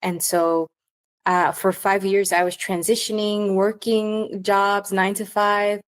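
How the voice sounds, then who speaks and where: A woman's voice: medium pitch, little pitch movement, slow pace. A woman hosting a coaching podcast, upbeat and enthusiastic as she introduces the show and chats with her guest.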